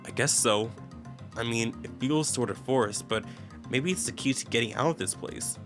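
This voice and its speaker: A man's voice: mid-range voice, normal speed, music in the background, lightly acted. A man playing an irritable, weary male traveler in a game story, speaking casually and with exasperation. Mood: puzzled.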